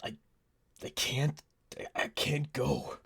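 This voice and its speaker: A man playing an earnest, devoted, stubborn superhero in an ASMR roleplay, speaking haltingly with a breathy voice. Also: a little high, little pitch movement, a bit bright, exaggerated voice. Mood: halting, emotional. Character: anguished male superhero, stubborn and devoted to a sidekick. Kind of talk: ASMR audio roleplay drama.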